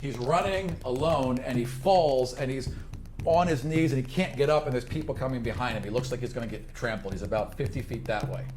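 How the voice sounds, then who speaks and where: A man's voice: mid-range voice, brisk. A man working as game master, improvising narration for a tabletop fantasy game.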